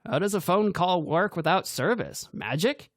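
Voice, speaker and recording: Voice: mid-range voice, lively intonation, normal speed, no room sound, voice pushed up. A narrator voicing a dry, sarcastic young man. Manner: dry, unhelpful.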